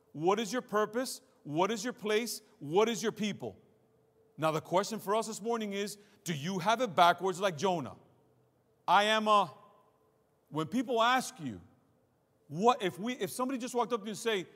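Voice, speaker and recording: High-voiced male preacher in a reverberant hall. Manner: emphatic, dramatic delivery with repeated phrases and rhetorical questions. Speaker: pastor. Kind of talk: sermon preached to a congregation. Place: large hall with congregation and screen, streamed to viewers at home.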